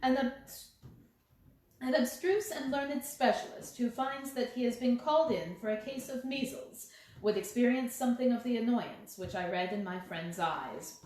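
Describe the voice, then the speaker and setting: A woman's voice: on the high side, normal speed, a little mellow, slight background noise. A woman reading a story aloud as scripted audiobook narration. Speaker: audiobook reader. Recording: very echoey, reverberant room.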